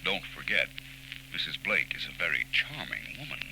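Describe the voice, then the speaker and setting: An actor playing a suave, self-assured gentleman detective with urbane, teasing delivery, in 1940s radio style. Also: deep voice, big pitch swings, normal speed, vocal fry, full character voice. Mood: wry, suave. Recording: noisy 1940s radio recording.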